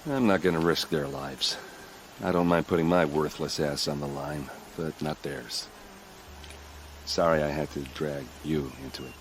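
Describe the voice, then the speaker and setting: An actor playing a gruff, self-deprecating warrior leader worn by grief in a video game, talking in a rough, plain-spoken way. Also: male voice, extremely low pitch, steady tone, normal speed, slight background noise. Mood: gruff, wry. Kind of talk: video game drama acting. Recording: faint music under the voice.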